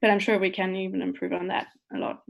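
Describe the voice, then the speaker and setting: Woman guest on a video call, earnest, mid pitch, very even intonation. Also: fast talker, creaky. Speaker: guest speaker. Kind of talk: answering a question on a video call.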